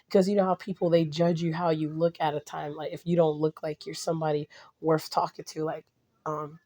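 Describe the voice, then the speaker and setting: A woman vlogging casually to her viewers, a little hoarse and raspy from a cold, rambling with restarts and blunt asides. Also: low-pitched, darkish tone. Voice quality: slightly raspy. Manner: blunt and outspoken.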